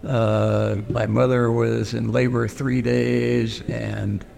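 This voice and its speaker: A man's voice: medium pitch, little pitch movement, slow, gravelly, darkish tone. A man from Pennsylvania whose first language is Pennsylvania Dutch, recalling his upbringing in an oral history interview. He talks hesitantly, with many fillers and restarts. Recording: long reverb with faint noise.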